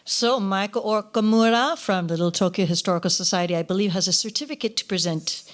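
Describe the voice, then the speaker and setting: A woman's voice: medium pitch, brisk. A woman hosting a ceremony in a casual, unscripted style. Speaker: ceremony host.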